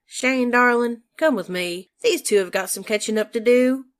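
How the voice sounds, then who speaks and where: A woman's voice: fairly high pitch, moderate pace, natural voice. A woman playing a chatty, bossy, motherly survivor in a dubbed comic drama. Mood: delighted, bossy.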